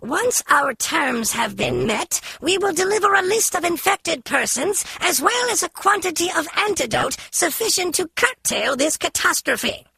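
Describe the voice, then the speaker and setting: A high, creaky puppet villain for a spy video game. The speech is haughty and formal, loaded with theatrical menace, in a bright, very dry recording. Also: female voice, big pitch swings, moderate pace, hardly any pauses, no echo, heavily acted. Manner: pompous, formal, theatrically threatening. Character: menacing puppet messenger for a criminal organization, haughty and shrill.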